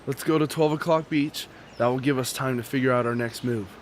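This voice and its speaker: A man's voice: medium pitch, brisk, lightly acted. A man playing an intense, haunted young fighter in an amateur radio drama, urgent in delivery. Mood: businesslike. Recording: noisy, outdoor bird ambience.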